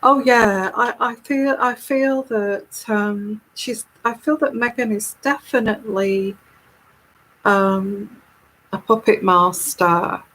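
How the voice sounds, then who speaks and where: A woman with a soothing, calming voice, speaking very slowly and at an easy, rambling pace. She hosts a live tarot stream and chats casually with her viewers. Her pitch sits in a typical middle range for a woman. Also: poor audio. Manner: unhurried, chatty and rambling.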